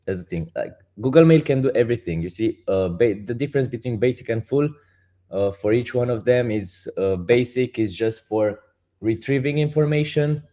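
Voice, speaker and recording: A man with a Romanian accent, a project founder speaking as a guest and explaining step by step in halting, restarting sentences. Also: mid-range voice, mellow. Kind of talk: casual interview talk.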